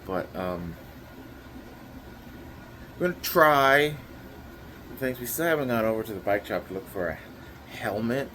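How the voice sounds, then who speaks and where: A man's voice: fairly low pitch, animated, unhurried, pauses often, fairly bright tone, slight background noise. A young man chatting casually through his daily vlog plans, a little nasal and rambling with lots of fillers. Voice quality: slightly nasal. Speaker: vlogger.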